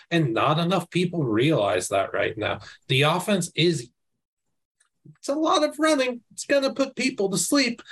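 A man's voice: on the high side, husky. A man in a casual sports podcast chat, talking emphatically and with casual slang. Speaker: college football panelist.

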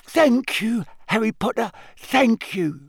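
Little male house elf, squeaky, high-pitched, earnest, deferential, halting broken grammar, animated pitch, storybook. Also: creaky, no echo, studio quality, full character voice. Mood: breathless, grateful. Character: small earnest male house elf, timid yet devoted.

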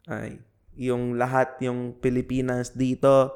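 A man co-hosting a podcast in casual, joking chat, often halting as he searches for his words. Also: mid-range voice, completely flat delivery, a little mellow, clean tone. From half Filipino. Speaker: podcast co-host, comedian.

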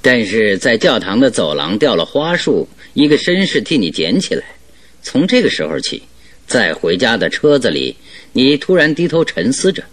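A man acting a shrewd, jovial, self-assured English detective in a Mandarin radio drama, explaining his deductions expansively with a slightly husky voice. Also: medium pitch, sing-song, moderate pace, a bit bright. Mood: confident, explanatory.

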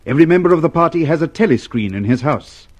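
A man's voice: a little high, animated, quick pace, very noisy. A man giving dramatic scripted narration for a radio play in a solemn, declamatory way. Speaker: narrator and announcer.

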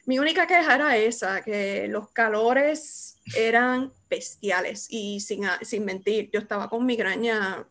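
A young adult woman hosting a casual chat, lively and quick to laugh. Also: on the high side. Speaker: host. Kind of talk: casual conversation.

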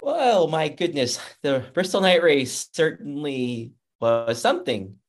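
A man hosting a motorsports podcast in a casual, upbeat, chatty way, with a loud voice. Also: fairly high pitch, lively intonation, takes it slowly, faint music behind. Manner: upbeat, chatty, reads sponsor plugs. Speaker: podcast host and motorsports journalist.

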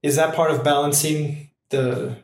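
A man's voice: a little high, steady tone, unhurried, reverberant. A man hosting a podcast interview, giving short supportive affirmations and asking questions in a casual way. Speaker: podcast host. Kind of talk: interview conversation.

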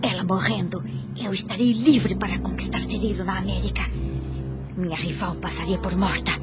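A woman's voice: medium pitch, steady tone, normal speed, lightly acted. An actress playing a jealous, scheming young noblewoman in a radio soap, with theatrical, conspiratorial, formal delivery. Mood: secretly plotting. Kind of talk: radio soap opera acting. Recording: music under the voice.

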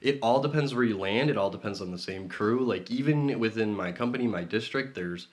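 A man's voice: lowish voice, a little fast. A man who runs a tire shop, guesting on a casual podcast and explaining his work in a friendly, practical way.

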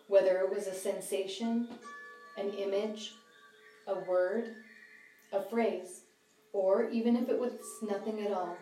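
A woman guiding a mindfulness meditation, giving calm, measured instructions in short phrases. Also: medium pitch. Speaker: meditation guide. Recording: slightly distant roomy sound, music behind.

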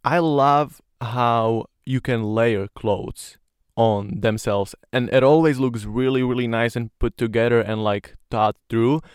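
A man's voice: mid-range voice, a little slow, clear, resonant tone, no echo, studio quality. A young man from Slovakia, a native Slovak speaker, hosting a casual podcast interview in an earnest manner full of "like" fillers. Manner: earnest, many "like" fillers, admiring. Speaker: podcast host.

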